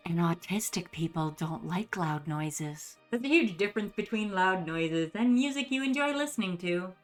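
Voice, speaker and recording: A woman giving a dramatic reading with her own commentary in an animated, sardonic manner. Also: fairly low pitch, normal speed, faint music behind. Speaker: video host.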